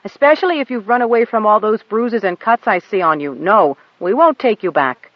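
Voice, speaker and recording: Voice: medium pitch, expressive, moderate pace, no echo, dull audio, voice pushed up, lightly acted. Narrator doing a folksy kindly pilot. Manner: warm, folksy, drops g's. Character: kind, blunt airship pilot man.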